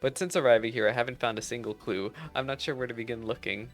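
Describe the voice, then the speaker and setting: A man's voice: mid-range voice, steady tone, fast, close to an everyday voice. A man reading aloud the game dialogue of a proud, earnest female cat-girl musician with a defensive streak. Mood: troubled. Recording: music behind the voice.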